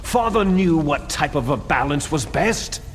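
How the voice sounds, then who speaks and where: A man's voice: a little high, lively intonation, a little slow, a little hiss or hum. A man playing a bitter, proud soldier villain in a video game, grandiose and taunting, drawing out his words dramatically. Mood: grandiose, impassioned.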